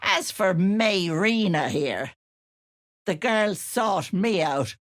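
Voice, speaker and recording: A woman's voice: mid-range voice, expressive, slow, no room sound, studio quality. A woman voicing a sly, syrupy older auntie witch in a video game, cooing endearments with an edge of menace. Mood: sugary, scheming. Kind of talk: video game character voice lines.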